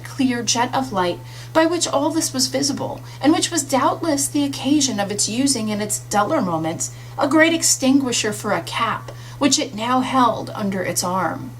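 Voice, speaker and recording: High-voiced woman reading a literary story. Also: expressive, normal speed, rough recording. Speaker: audiobook reader. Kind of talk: expressive scripted literary narration. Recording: audible hum and faint noise.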